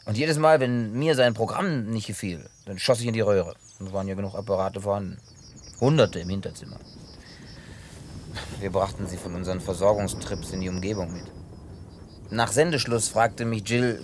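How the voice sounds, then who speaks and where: Low-voiced grumbling drifter played by a man. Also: moderate pace, a little hiss or hum, natural voice. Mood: wry, reminiscing. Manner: colloquial, grumbling storyteller. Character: gruff, hot-headed but harmless male drifter, former bar owner. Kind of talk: German radio play acting.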